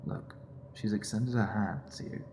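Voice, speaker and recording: Man acting an earnest, low-pitched inner voice. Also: normal speed, a little mellow, slight background noise, lightly acted. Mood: encouraging. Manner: emphatic, coaxing, questioning. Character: earnest, pleading male inner voice, confident alter ego. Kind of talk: dramatic audio drama dialogue.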